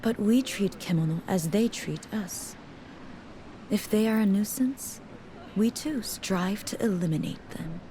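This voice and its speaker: A woman's voice: medium pitch, dark tone, a little hiss or hum, lightly acted. An actress playing a composed, stern, philosophical female merchant in a fantasy game, speaking formally. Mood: stern, grave. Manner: formal, measured, sententious. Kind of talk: video game cutscene acting.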